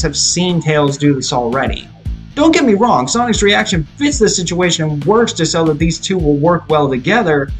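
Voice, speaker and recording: A man with a slightly high voice narrates a scripted video review of a movie. He is explanatory and opinionated, with music playing behind him.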